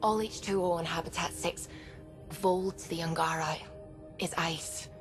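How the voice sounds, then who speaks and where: A businesslike female science officer, played by an actress, with a slightly low voice. She is technical and matter-of-fact in game cutscene style, over clear music. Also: steady tone, measured pace, lightly acted. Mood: factual. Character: businesslike woman science officer briefing on a planet. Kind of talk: video game cutscene acting.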